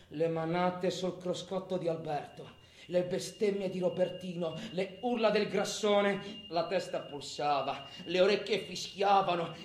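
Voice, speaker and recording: An actor playing an agitated, foul-mouthed robber in an intense, colloquial theatrical monologue that swings from hushed to shouting. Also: male voice, sits high, lightly acted. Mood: frantic, angry. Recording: roomy echo, faint background noise.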